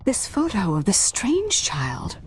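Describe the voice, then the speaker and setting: An actress playing a tense, anxious wife in a radio play, with a hushed, hesitant, breathy delivery. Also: female voice, medium pitch, huge pitch swings, slow pace, a little hiss or hum. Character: tense, anxious married woman, probing a secret.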